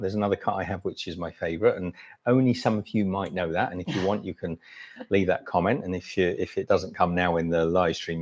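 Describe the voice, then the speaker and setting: A middle-aged man hosting a casual livestream, talking about food in a rambling, chatty way with frequent restarts. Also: sits low. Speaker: livestream host. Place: home, eating during a livestream.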